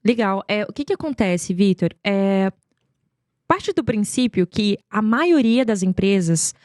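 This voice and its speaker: A woman's voice: mid-range voice, animated, unhurried, a little mellow, dry recording, studio quality. A woman tells stories as an interview guest, talkative and emphatic, often posing rhetorical questions. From Goiânia, Brazil. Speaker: podcast guest, marketing executive. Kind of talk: conversational interview storytelling.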